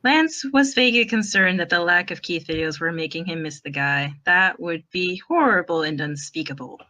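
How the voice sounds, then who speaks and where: A woman's voice: lowish voice, normal speed, pushed and bright. A woman reading fan fiction aloud as an amateur narrator, in a wry, informal storytelling manner.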